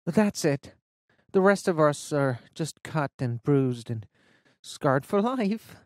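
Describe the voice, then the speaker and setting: A woman's voice: low-pitched, expressive, takes it slowly, darkish tone, no echo, clean studio sound, pitched above the performer's own voice. A woman voicing a rattled teenage girl who talks in a rush and keeps breaking into tears. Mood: shaken. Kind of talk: audiobook character voice.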